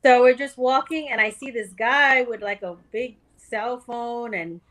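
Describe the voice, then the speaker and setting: A woman's voice: fairly high pitch, measured pace, a bit bright. A middle-aged woman guest from New York on a casual podcast, telling her story candidly and swearing freely. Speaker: guest author.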